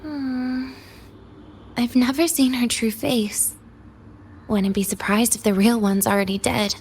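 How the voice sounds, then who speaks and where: A woman's voice: a little high, fairly even intonation, slow, darkish tone, slight background noise. An actress playing a guarded, world-weary young woman student in a video game, wry and resigned. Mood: melancholy, guarded. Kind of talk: English video game voice acting.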